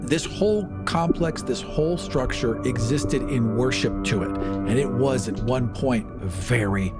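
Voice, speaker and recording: A man narrating a tabletop game as game master, in a measured, portentous storytelling manner. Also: mid-range voice, loud music behind the voice.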